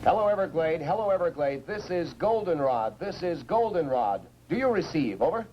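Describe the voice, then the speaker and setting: A man's voice: on the high side, sing-song, normal speed, dull audio. A man acting a practical, frustrated radio operator in a 1950s science fiction film. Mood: calling out. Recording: noisy old film soundtrack.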